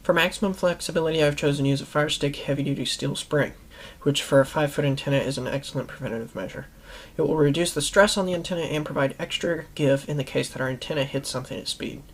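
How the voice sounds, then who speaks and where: A man's voice: a little high, fast talker. A man hosting a how-to video, narrating an instructional product review in a methodical, plainly explanatory way. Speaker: host of a how-to video series.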